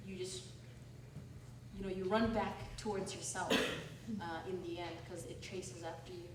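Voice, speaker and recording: Woman, from Malawi, mixed Malawian-American-British accent, animated storyteller, noisy room. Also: on the low side, unhurried. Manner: animated storytelling, acting out quoted voices. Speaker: author and speaker. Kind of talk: talk at a book event. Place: church hall. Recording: roomy hall, noisy, from a distance.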